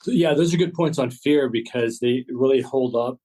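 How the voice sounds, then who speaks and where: Measured man from Texas, mid-pitched. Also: mellow. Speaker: interview guest, former FBI agent and author. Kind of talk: conversational interview answers.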